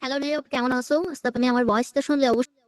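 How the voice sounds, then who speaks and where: A man's voice: very high voice, fairly even intonation, racing, no echo, pitched above the performer's own voice. A man voicing a young woman through an AI female voice changer, in short friendly voice messages. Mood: friendly, casual. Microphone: phone voice message replayed in screen recording.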